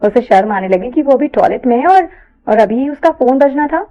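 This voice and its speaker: A woman with a fairly high but very mellow voice narrates an audio story. Her reading is scripted and expressive. The sound is slightly muffled. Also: normal speed.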